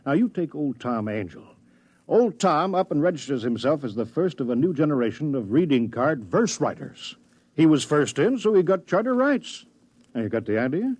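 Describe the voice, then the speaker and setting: A man's voice: medium pitch, sing-song, moderate pace, a little mellow, slightly rough, no room sound. An actor playing a hearty, speechifying older politician father-in-law in a 1950s radio drama. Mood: breezy, expounding.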